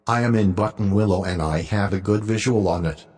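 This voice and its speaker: A man giving a deliberate spoken log as an amateur observer recording himself. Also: on the low side, darkish tone.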